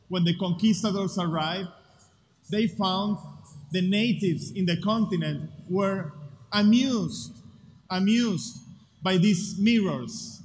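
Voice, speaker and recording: A man with an Argentine Spanish accent giving an earnest, deliberate conference talk with rhetorical pauses. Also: high voice, slight background noise. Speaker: speaker at a future festival. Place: large hall at a festival. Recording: live hall with long reverb, faint ambience.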